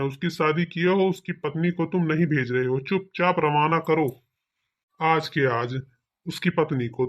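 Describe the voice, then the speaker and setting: Man voicing authoritative cartoon police officer, fairly high male voice, bossy. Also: muffled recording, lightly acted. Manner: authoritative, commanding. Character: bossy village police officer, coaxing or threatening. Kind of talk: cartoon comedy acting.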